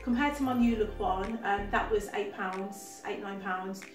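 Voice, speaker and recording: A woman's voice: mid-range voice, a little slow, distant mic, over music. A young woman with a Brummie accent chatting in a casual home haul video, enthusiastic and full of little laughs and ums, filmed in her living room. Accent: Birmingham (Brummie). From West Midlands, England. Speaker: vlogger, makeup artist. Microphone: camera mic at a distance. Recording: roomy echo from off-mic.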